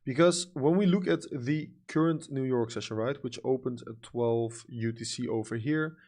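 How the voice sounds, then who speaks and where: A man's voice: mid-range voice, moderate pace, darkish tone. A young man with a Dutch accent chatting casually on a livestream, answering comments with lots of "you know" and "like", blunt and sometimes profane. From Netherlands. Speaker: livestream trader.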